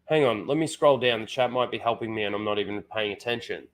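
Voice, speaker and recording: A man's voice: lowish voice, fast. A man with a head cold and blocked nose, rambling casually to his chat as a livestream host, full of "like" and restarts.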